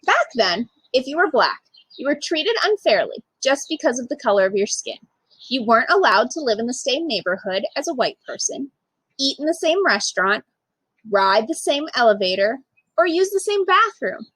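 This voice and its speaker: Woman, storytime reader. High, very lively pitch, slightly husky, close dry sound. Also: normal speed, no echo, low-quality recording. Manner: animated, emphatic storytelling for young children. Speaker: storytime reader, literacy program manager. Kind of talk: expressive children's picture book read-aloud.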